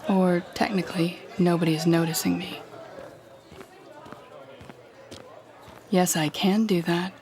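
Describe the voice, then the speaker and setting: A woman's voice: fairly low pitch, fairly even intonation, normal speed, darkish tone, close to an everyday voice. A woman playing a cold, commanding telepathic villain who taunts a captive hero in an intimate audio roleplay. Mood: smug. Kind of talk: intimate second-person audio roleplay acting.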